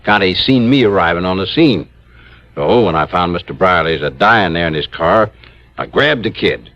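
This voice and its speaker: An actor playing a grumbling, insistent small-town police chief in an old radio drama. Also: male voice, low voice, animated, moderate pace, fairly bright tone. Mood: folksy, earnest. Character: folksy, grudge-bearing small-town male police chief. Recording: dull, hissy vintage radio recording.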